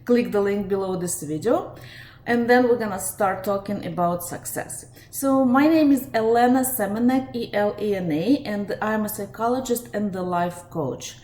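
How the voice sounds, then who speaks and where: A woman's voice: medium pitch, normal speed, darkish tone. A woman with a Russian accent, a life coach hosting a live webinar, talking steadily and earnestly with frequent fillers. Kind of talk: live webinar talk to viewers. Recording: echoey room, faint ambient noise.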